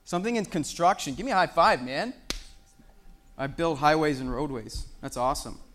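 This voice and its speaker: A man leading a church gathering, chatting warmly with children before leading a prayer. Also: fairly high pitch, moderate pace. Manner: friendly, chatty with children, then solemn in prayer. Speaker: church youth leader.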